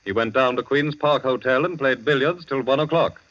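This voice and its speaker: An actor playing a sardonic, methodical police chief questioning a suspect in formal 1940s radio style. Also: male voice, mid-range voice, normal speed, a bit bright, close to an everyday voice. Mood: dry, confident. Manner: formal, dry, sardonic, probing. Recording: dull vintage 1940s radio recording.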